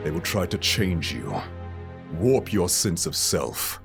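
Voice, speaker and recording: A man's voice: low-pitched, sing-song, creaky, music in the background, full character voice. An actor playing a proud, hot-tempered but tender king of evil in a theatrical comic dub. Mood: grave, tender. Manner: theatrical, slow and deliberate. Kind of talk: dramatic comic-dub voice acting.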